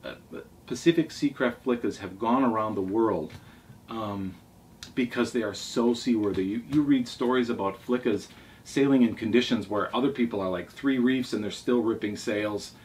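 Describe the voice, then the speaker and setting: A middle-aged man with a fairly deep voice hosts a casual vlog from a table in a small room. He rambles along with enthusiasm. The mic sits well away from him and picks up some background noise. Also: normal speed, a little mellow. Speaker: sailing vlog host. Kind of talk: casual talk reviewing favourite books. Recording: distant mic, noisy room ambience.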